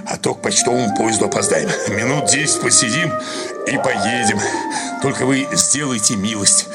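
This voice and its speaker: An actor playing a gruff, weary and irritable young postman in a Russian radio play. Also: lowish voice, moderate pace, natural voice. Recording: loud music behind the voice.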